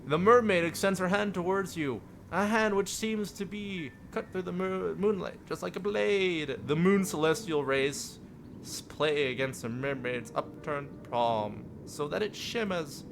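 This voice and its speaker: A man's voice: high-pitched, measured pace, gravelly, fairly bright tone, a little hiss or hum. A man live-streaming a visual novel, reading it aloud theatrically with playful asides. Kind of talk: dramatic read-aloud narration with joking asides. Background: music.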